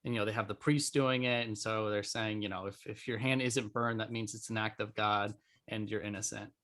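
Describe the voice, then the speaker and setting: Podcast host, male, lowish voice, casual and informal, loosely phrased conversational delivery. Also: steady tone, fairly quick, a bit bright.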